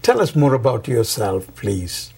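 A man hosting a Bible study radio program, reading a scripted opening and then interviewing a guest in a measured way with some fillers and repeated words. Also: medium pitch, very animated, creaky, darkish tone, husky, no echo.

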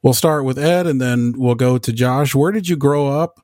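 A man's voice: mid-range voice, normal speed, darkish tone, no echo, studio quality. A man hosting an interview, guiding the talk with questions to his guests. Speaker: host.

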